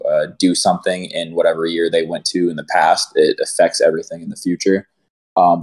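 Deep, flat-voiced young man. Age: 20s. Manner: hesitant, lots of likes and ums. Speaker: podcast co-host. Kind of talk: casual chat.

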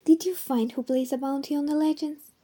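Woman playing a high, very soft-toned optimist. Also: fairly even intonation, a little fast, lightly acted. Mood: hopeful. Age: young adult. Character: optimistic, caring young woman who loves magic.

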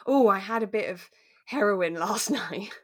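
A woman's voice: medium pitch, a little slow, a bit bright. A woman co-hosting a podcast in casual, conversational chat, often acting out little imagined remarks. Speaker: podcast co-host.

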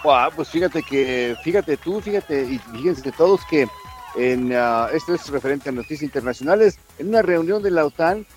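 A man's voice: on the high side, animated, moderate pace. A man co-hosting a radio show, giving casual news and sports commentary in a discursive, explanatory way. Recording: music bed under the voice. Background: music.

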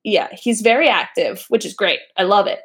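A woman's voice: mid-range voice, animated, normal speed, a bit bright. A young woman co-hosting a casual podcast, chatting, laughing often and using many fillers. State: pregnant. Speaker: podcast co-host. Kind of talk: casual chat.

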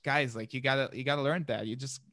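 A young man from Palestine, in his twenties, talks through an easygoing interview, stumbling over and repeating his words and laughing between points. His voice sits at an ordinary middle pitch. The sound is close and dry, with no room around it. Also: fairly even intonation, quick pace, fairly bright tone, no room sound. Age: 20s. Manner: many restarts and repeated words, laughs often. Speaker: guest. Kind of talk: casual interview chat.

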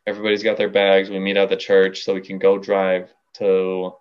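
A young man in his late teens with a low voice, chatting casually on a podcast. He tells his story in a relaxed, playfully boastful way. The recording sounds dull and lo-fi. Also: normal speed, clean tone. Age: young adult. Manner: laid-back, playful boasting. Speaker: guest musician.

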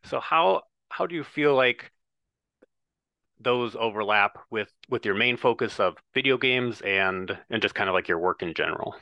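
A man's voice: lowish voice, animated, normal speed, bright, forceful tone, no echo, muffled recording. A man hosting a podcast, asking long, rambling questions full of hedges and fillers. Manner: rambling, hedging, many fillers. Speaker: podcast host. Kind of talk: casual interview hosting.

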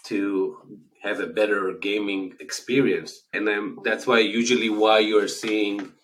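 A man's voice: lowish voice, little pitch movement, a little slow, a bit bright, poor audio. A man in his forties from Israel with an Israeli accent, hosting a casual solo podcast in an earnest, explanatory way with frequent hesitations. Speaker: podcast host.

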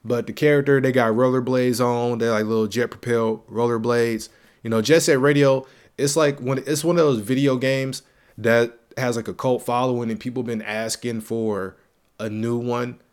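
A man's voice: medium pitch, steady tone, moderate pace, clean tone. A young man with a stuffy, congested voice hosts his own gaming podcast in a loose, slangy way. He keeps the hype going by repeating phrases for emphasis. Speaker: podcast host. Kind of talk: casual solo gaming podcast.

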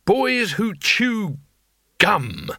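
A man voicing a nervous, fussy older male schoolteacher who stammers in a high, flustered voice. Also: big pitch swings, measured pace, vocal fry, pushed and bright, no echo, clean studio sound, exaggerated voice. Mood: indignant.

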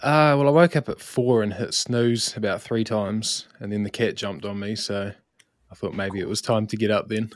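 A man with a New Zealand accent, relaxed and unhurried, introducing himself as marketing lead with lots of ums. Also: fairly low pitch, dry recording. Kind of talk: casual self-introduction on a livestream.

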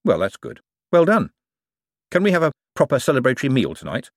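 Casting: sardonic, argumentative storybook man voiced by a male narrator, easily outraged, swooping pitch. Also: wildly swooping pitch, brisk, gravelly, no echo, studio quality. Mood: hopeful, wheedling. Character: wry, exasperated, fast-thinking man prone to outrage.

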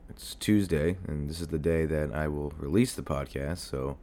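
Sick young man, very deep hoarse voice. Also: moderate pace, mellow. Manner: rambling, swears often, many fillers. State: sick, congested and coughing. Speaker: podcast host. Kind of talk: casual solo podcast monologue. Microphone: desk mic near a computer.

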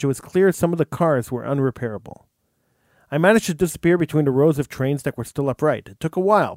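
A man, the host, reading a true story aloud in an earnest, measured way, with an occasional stumble over words. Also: a little high, a little mellow, no echo, studio quality. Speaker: radio host.

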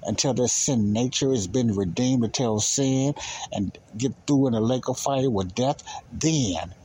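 A man's voice: medium pitch, a little slow, mellow, slightly rough. A man teaching the Bible in an informal sermon, speaking emphatically, with repetition and rhetorical questions.

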